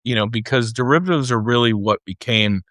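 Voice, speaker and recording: A man with a medium-pitched voice hosts an interview in an informal, conversational way. He thinks aloud as he works toward each question. The recording is close and dry. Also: a little fast, a bit bright, dry recording. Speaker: podcast host, interviewer.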